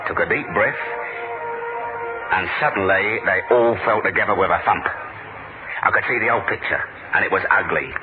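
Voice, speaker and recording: A man plays a sardonic, weary secret agent in a 1970s radio thriller. His voice is low and his delivery dry and deadpan. The old recording sounds muffled, with music audible behind. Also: moderate pace, pauses often, natural voice. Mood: brooding. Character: dry, world-weary male spy narrating his case.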